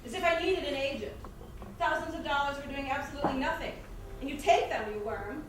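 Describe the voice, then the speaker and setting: A woman's voice: high-pitched, brisk, lightly acted. A woman playing a theatrical, imperious, self-pitying film star in stage comedy style, dramatically repeating her words. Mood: haughty, demanding. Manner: melodramatic, repeats words for effect. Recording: very echoey stage, distant mic, noisy.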